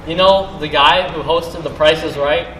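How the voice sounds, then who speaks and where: A man's voice: fairly high pitch, steady tone, moderate pace, fairly bright tone, slight background noise, natural voice. A young man playing a laid-back, joking teenage jock in a school play, recorded on an echoey stage. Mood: smug, joking.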